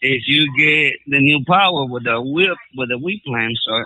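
Male phone agent, very bright forceful tone, dry sound, customer service talk. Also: medium pitch, normal speed, no echo, rough recording. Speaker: scam call centre agent.

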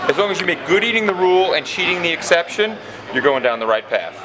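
A man's voice: high-pitched, sing-song, fast, low-quality recording. A man chatting casually at an indoor event. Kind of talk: casual chat. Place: busy indoor event space. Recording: loud crowd chatter behind.

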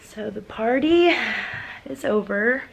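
A young woman vlogging in her bedroom, talking to a handheld camera with a hoarse, scratchy voice, bubbly and gushing. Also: a little high, animated, slow, faint music behind. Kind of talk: casual vlog talk to camera. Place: bedroom, indoors. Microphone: handheld camera at arm's length.

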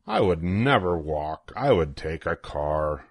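A man's voice: sits low, very animated, takes it slowly, dry recording. An older man reading a children's rhyming picture book aloud in a playful, sing-song way with swooping emphasis. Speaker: storybook reader.

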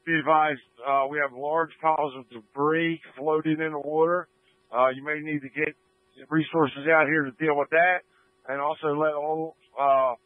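Fire rescue guy calling in reports on the radio, mid pitch, tinny and dry. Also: no echo. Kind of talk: emergency radio traffic. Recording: narrow, dry emergency radio transmission.

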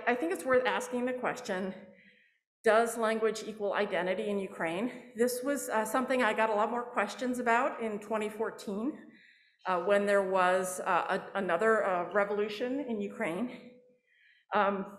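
A mid-pitched woman delivers an academic talk to an audience, explaining step by step and often restarting her sentences. She speaks into a podium microphone. Her voice carries into an echoey lecture hall. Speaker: anthropology professor giving a public lecture. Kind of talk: academic presentation.

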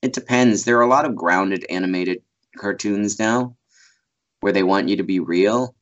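A man's voice: lowish voice, moderate pace. A man in his thirties, a voice actor guest, chatting casually over a video call from a small room at home, rambling with fillers and laughs. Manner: rambling, laughs often, many fillers and restarts. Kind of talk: casual interview chat. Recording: muffled video call audio.

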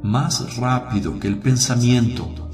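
Guy acting as gentle solemn holy teacher in a religious radio play, slow and tender, echoey with music behind. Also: mid-range voice, steady tone, natural voice. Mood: tender, reassuring. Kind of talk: devotional radio drama acting. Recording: roomy echo over music.

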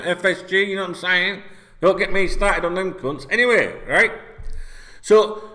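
A man in his forties from North Yorkshire with a Yorkshire accent, ranting about football to camera in blunt, sweary casual talk, forever checking in with "right?", seated in a small room. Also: sits high. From North Yorkshire, England. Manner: sweary, blunt, animated, keeps asking "right?". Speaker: football vlogger. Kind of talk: casual opinion rant to camera. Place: small indoor room, seated in red chair.

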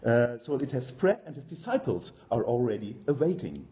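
A man in his forties with a German accent, giving an academic conference talk in a hesitant, halting manner with frequent pauses. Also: mid-range voice, big pitch swings, warm tone. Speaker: university professor, conference speaker. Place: lecture room, indoors.

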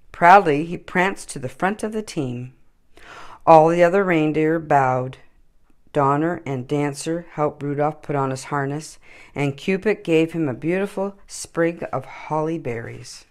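A middle-aged woman narrating a children's story in a deliberate, expressive way. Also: low-pitched. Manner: deliberate, animated. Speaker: children's story time reader.

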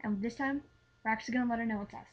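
A woman's voice: on the high side, racing. A young teenage girl with a girlish voice chatting casually as a prank caller on muffled webcam audio. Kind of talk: casual phone prank chat. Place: small room at home. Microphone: webcam or laptop microphone.

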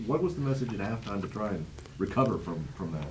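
A man, a reporter asking press conference questions, halting as he searches for words. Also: lowish voice, animated, slight background noise, poor audio.

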